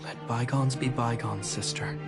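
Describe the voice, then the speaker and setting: A man's voice: mid-range voice, fairly even intonation, a little slow, lightly acted. A man voicing an earnest, dutiful younger brother and guard officer in a video game, speaking in a formal, restrained way. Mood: earnest, gentle. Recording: music under the voice.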